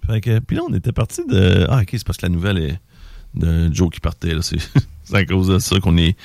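A man's voice: deep voice, very animated, a little fast, creaky, a little mellow, no echo, clean studio sound. A man in his forties with a Québécois French accent, hosting a show in a colloquial, teasing, chatty way. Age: 40s. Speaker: radio show host. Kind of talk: casual chat with co-hosts.

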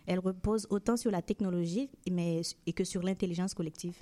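A woman with a fairly low voice and a dark, mellow tone. She speaks as an interview guest, giving articulate answers that sound prepared in advance. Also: steady tone, brisk. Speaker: interview guest, aerospace industry representative.

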